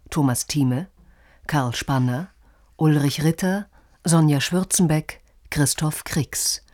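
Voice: mid-range voice, a little mellow, no room sound, clean studio sound. A radio announcer reading scripted credits in a formal style.

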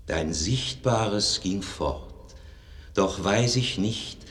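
An actor playing a solemn, lofty prologue speaker who declaims measured, mournful verse in a 1950s German radio drama. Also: male voice, deep voice.

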